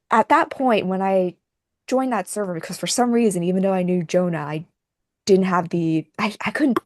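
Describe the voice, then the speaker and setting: Woman, lowish pitch, dry roomless sound, casual chat, hesitant, modest, self-deprecating. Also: a little mellow, no room sound.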